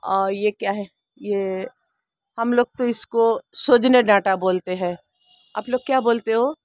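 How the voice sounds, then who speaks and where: A woman's voice: medium pitch, steady tone, measured pace, darkish tone, no echo. Chatty woman in her sixties, dry sound. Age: 60s. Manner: chatty, homely, speaks directly to viewers. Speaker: vlogger. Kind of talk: casual rooftop garden tour. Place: outdoor rooftop garden. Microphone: handheld phone camera.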